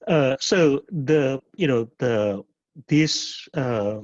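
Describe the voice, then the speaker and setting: A middle-aged man from Indonesia with an Indonesian accent and a husky voice, a professor answering questions at a webinar in a halting way, often repeating words and restarting. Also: medium pitch, lively intonation, darkish tone. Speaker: professor and program director. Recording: muffled, echoey webinar audio.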